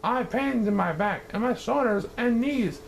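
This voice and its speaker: A man's voice: very high voice, expressive, normal speed, creaky, a little hiss or hum, voice pushed up, full character voice. A man playing a meek, aching little turtle. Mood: pleading. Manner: pleading, polite, strained. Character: meek, weary little male turtle at the bottom.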